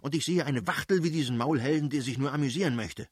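Man acting blustering angry old aristocrat, pitched high. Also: fast, breathy edge, no echo, studio quality, lightly acted. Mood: scolding. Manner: blustering, exclamatory, mocking. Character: irascible aristocratic old father, ranting and scolding his wife. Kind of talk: dramatised audiobook reading.

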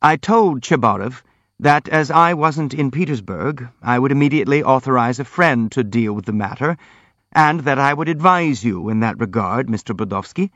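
A man's voice: mid-range voice, expressive, fairly bright tone, no room sound, dull audio, voice pushed up, natural voice. A man voicing an earnest, polite prince in an audiobook, frank and anxious to placate. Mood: apologetic. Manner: earnest, hurried, polite pleading. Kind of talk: audiobook character acting.

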